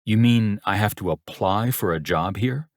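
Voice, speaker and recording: Guy doing an angry younger dude in an audiobook, deep voice, bitter and defiant, swears a lot. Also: moderate pace, no room sound, studio quality, lightly acted. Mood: incredulous. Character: angry defiant younger man, wounded pride, sarcastic. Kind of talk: audiobook character dialogue.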